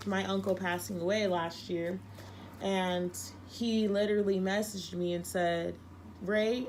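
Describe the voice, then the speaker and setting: Young woman reading tarot cards, bit hoarse and sick, earnest and repetitive, faint hiss behind. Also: mid-range voice. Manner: earnest, emphatic, repeats herself. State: losing her voice, a little sick. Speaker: tarot reader.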